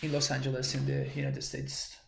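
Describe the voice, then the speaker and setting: A man streams a card game and thinks aloud as he works out each play. His voice sits at a middle pitch. The room around him sounds echoey. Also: monotone, brisk, vocal fry, slight background noise. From Argentina. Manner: thinking aloud, working through plays. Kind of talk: live card game commentary. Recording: roomy echo.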